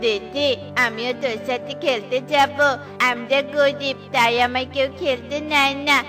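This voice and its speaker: A woman's voice: high-pitched, steady tone, unhurried. A woman voicing a sulky little boy bird in a children's cartoon, with a plaintive manner. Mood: sulky, pleading. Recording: music behind the voice.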